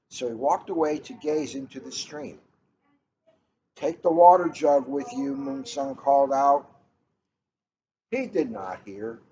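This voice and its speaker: Middle aged guy reading a kids book real slow, kinda muffled w some background noise. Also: medium pitch, a little mellow. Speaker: police officer reading a picture book aloud. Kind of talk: casual chat and storybook read-aloud. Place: coffee shop, indoors. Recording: muffled, noisy room recording.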